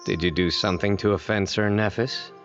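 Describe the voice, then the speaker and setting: Low, composed, deadpan officer played by a man. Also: muffled recording, faint music behind, natural voice. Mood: matter-of-fact. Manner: formal, measured, deadpan. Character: stern, composed male law-enforcement officer. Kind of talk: video game voice acting.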